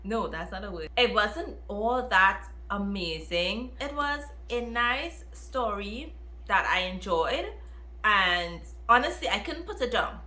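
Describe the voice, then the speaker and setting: A woman chatting casually to viewers as a book reviewer, with an emphatic, expressive manner that repeats phrases for emphasis. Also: mid-range voice, slow pace. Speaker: book reviewer, video host. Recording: roomy, echoey room.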